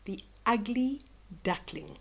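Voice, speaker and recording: An older woman reading a children's story aloud slowly and expressively, with gentle chatty asides to young listeners. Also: mid-range voice, lively intonation, gravelly, breathy edge.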